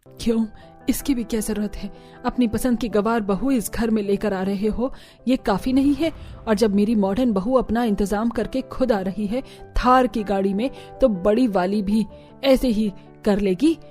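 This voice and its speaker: A woman plays a spiteful, bossy mother-in-law in a cartoon family drama. Her middle-aged voice is dark and mellow, haughty in delivery. Music runs behind it. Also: medium pitch, moderate pace, natural voice. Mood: scornful. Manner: scornful, bossy. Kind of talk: Hindi animated family drama dubbing.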